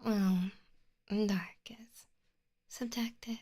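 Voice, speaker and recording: A young woman ASMR creator whispering softly to her viewers, relaxed and thinking aloud. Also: on the high side, drawn-out words. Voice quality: soft whisper.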